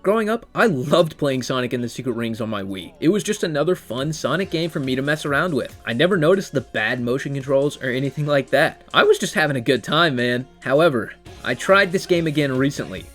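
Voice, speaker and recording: A man's voice: mid-range voice, lively intonation, a little fast, a bit bright. A young man giving casual scripted commentary on video games, as the host of a ranking video essay. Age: young adult. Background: music.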